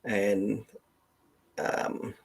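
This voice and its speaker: Canadian guy chatting on a livestream, husky creaky voice, kinda halting and rambly. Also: on the low side, completely flat delivery, low-quality recording. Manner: halting, meandering, thinking aloud. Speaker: livestream host, genealogist. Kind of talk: casual unscripted livestream chat.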